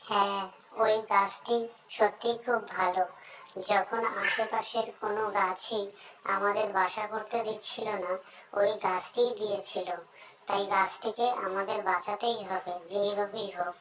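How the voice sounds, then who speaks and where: A woman's voice: medium pitch, steady tone, measured pace, a bit bright, natural voice, poor audio. A woman voicing an earnest, pleading bird looking for a nest in a cartoon story.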